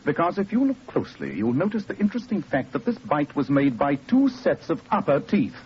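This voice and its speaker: An actor playing a brilliant, cool English detective with a precise, superior manner in 1940s radio drama. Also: male voice, fairly high pitch, big pitch swings, a little fast. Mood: coolly analytical. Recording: dull, noisy old radio recording.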